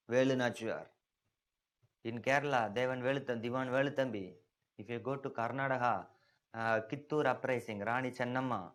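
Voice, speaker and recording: Indian English man teaching a history class, mid-pitched, emphatic, checks in with students constantly. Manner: emphatic, asks checking questions often. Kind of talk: classroom lecture.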